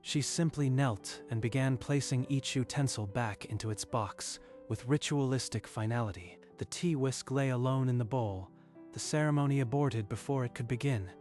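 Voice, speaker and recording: A man reading scripted story narration in a measured, literary storytelling manner. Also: medium pitch, a little mellow, soft background music.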